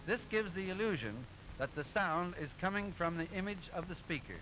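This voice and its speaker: Cartoon professor, high-pitched, didactic, precise, genial lecturer, old 1920s film soundtrack with music. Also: male voice, expressive, rough recording. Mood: explaining. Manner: didactic, precise, measured explaining. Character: genial male professor explaining machines in a cartoon. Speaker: narrator, lecturer. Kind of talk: 1920s educational cartoon narration.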